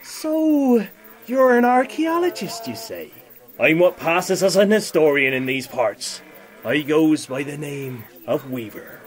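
An actor playing a genial but sly rustic villager in an audio drama, folksy and theatrical. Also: male voice, a little high, very animated, takes it slowly. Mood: genial, proud.

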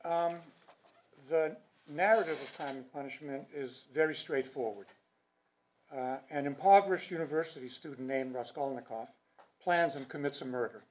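An older man with a soft voice reading a prepared paper aloud at a conference podium. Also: mid-range voice, normal speed, low-quality recording. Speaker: conference speaker. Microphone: podium microphone.

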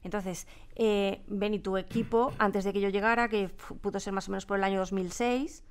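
A middle-aged woman with a Castilian Spanish accent, giving halting, explanatory answers in a studio interview. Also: medium pitch, fairly even intonation, clean tone. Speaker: radio drama sound designer, interview guest. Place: radio studio.

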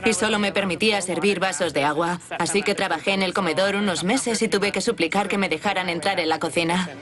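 A woman's voice: fairly low pitch, a little fast, lightly acted. A woman dubbing a former kitchen assistant, speaking candidly about her old job. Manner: candid, complaining. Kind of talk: dubbed reality TV interview. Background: background music.

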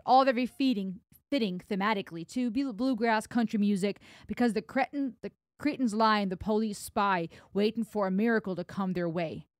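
A woman in her thirties from Germany, with German as her first language, giving an animated music reaction on a boom mic in a home studio. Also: dry recording. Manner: animated, gestures while talking, reads lyrics aloud. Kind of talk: casual music reaction commentary. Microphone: broadcast mic on boom arm, headphones.

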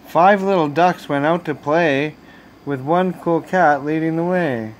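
A high-pitched male storyteller reads a picture book to young children at a slow pace. His phrasing is deliberate and expressive. It is a homemade phone recording with a steady hum behind the voice. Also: low-quality recording. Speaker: storyteller reading aloud to children. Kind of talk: bedtime picture-book read-aloud. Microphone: handheld phone camera.

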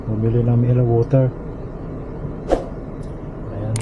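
A middle-aged Filipino man talks to his viewers from the driver's seat of a car, relaxed and chatty. His delivery is flat, with little rise and fall. The action camera sound is muffled, and loud road noise runs underneath. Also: medium pitch, a little slow. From Philippines. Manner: relaxed, addresses viewers as idols, code-switches into English. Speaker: vlogger. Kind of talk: casual travel vlog narration. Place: inside a car on a road trip. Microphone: action camera mounted in the car.

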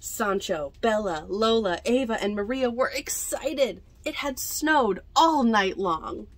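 A woman's voice: on the high side, takes it slowly, no echo. A woman in her twenties reading a picture book aloud to children, expressively, with chatty asides. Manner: expressive, addresses young listeners directly. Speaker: library storytime reader. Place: indoors among library bookshelves.

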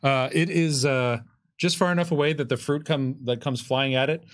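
A man's voice: medium pitch, steady tone, normal speed. A middle-aged man serves as game master at a tabletop game. He narrates and gives rulings in loose, conversational phrasing, often feeling his way through the wording. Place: indoor studio, seated at a game table.

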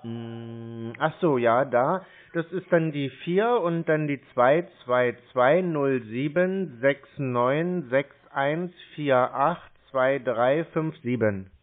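A man from Germany stringing along a scam caller, slow and feigning confusion as he fumbles for details. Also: medium pitch. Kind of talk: playing dumb on a prank call. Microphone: phone. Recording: tinny phone line.

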